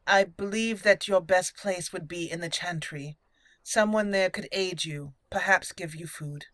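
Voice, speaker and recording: A woman's voice: on the low side, measured pace, fairly bright tone, no room sound. Chatty woman on a close, dry mic. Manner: chatty, thinks aloud, switches into formal in-character lines. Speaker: gaming channel host and player. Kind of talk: roleplay video game walkthrough commentary. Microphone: close, dry microphone.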